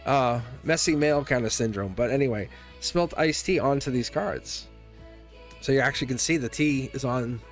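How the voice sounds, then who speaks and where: A man's voice: medium pitch, normal speed, soft background music. A man giving a live-streamed tarot reading, chatty and teasing, laughing often as he talks to his viewers. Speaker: tarot reader.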